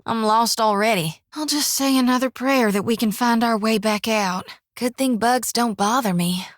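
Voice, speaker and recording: A woman's voice: a little high, moderate pace, dry recording, studio quality, natural voice. An actress voicing a folksy young woman priest who carries a soul lantern in a video game, talking in a plainspoken drawl. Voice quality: folksy drawl, full of "reckon" and "ain't". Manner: plainspoken, earnest, sometimes wry. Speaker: game companion character. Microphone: close studio mic.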